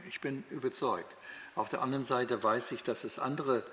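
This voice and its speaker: A man's voice: medium pitch, fairly even intonation, moderate pace, a bit bright. Older American man teaching in a hall. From United States. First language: English. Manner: hesitant, many fillers and restarts. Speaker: Bible teacher. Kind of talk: Bible teaching talk. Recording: long hall reverb.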